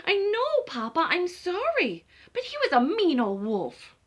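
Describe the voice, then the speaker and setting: A woman voicing an eager little red chicken girl in a bedtime storybook, pleading and excitable. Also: very high-pitched, unhurried, voice pushed up, heavily acted. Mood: apologetic.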